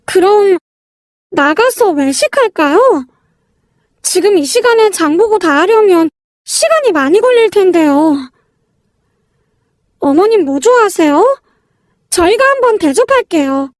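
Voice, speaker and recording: Woman as a high, polite young daughter-in-law. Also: animated, a little slow, pauses often, dry recording, studio quality. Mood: polite, placating.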